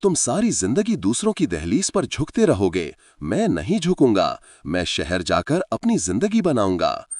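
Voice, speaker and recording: A man's voice: on the low side, wildly swooping pitch, normal speed, hardly any pauses, a little mellow, dry recording, clean studio sound. A man voicing a rebellious young farmer's son. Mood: defiant.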